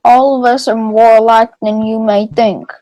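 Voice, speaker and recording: A woman playing a plain-spoken woodland animal in a children's readers theater piece, reading deliberately. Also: fairly high pitch, level tone, dark tone, no echo, highs cut off, close to an everyday voice. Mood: firm, helpful. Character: plain-spoken animals: timid tiny squirrel, big helpful bear.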